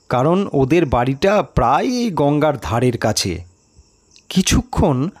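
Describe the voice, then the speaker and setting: A man narrating a mystery story in a measured, expressive, dramatic audiobook style with pauses. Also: mid-range voice.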